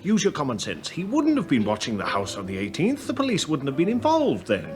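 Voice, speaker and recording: An actor playing a guarded, scheming British husband under suspicion, curt in manner, in a radio play. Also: male voice, on the high side, huge pitch swings, fairly quick, faint music behind. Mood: cool, dismissive.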